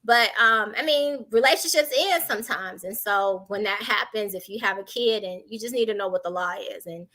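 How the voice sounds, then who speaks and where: An American woman giving candid conversational advice on a panel, animated and informal, illustrating points with anecdotes. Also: mid-range voice, normal speed, hardly any pauses. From Chicago, United States.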